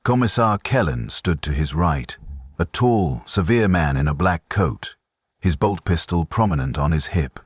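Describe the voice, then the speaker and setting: Male narrator for grave second-person fiction. Low, clean voice, close dry sound. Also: expressive, a little slow, husky, no room sound. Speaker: story narrator.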